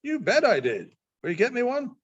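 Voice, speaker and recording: A man acts out a brash young boy from a storybook, sulky and blunt with slangy, clipped talk. The voice is pitched high and jumps around freely. The sound is a little dull. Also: wildly swooping pitch, normal speed, breathy edge, full character voice. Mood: warming, eager.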